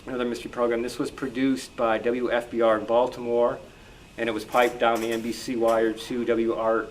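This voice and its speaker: A man speaking informally as a researcher and panel guest at a club meeting. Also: mid-range voice. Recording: clearly noisy live room.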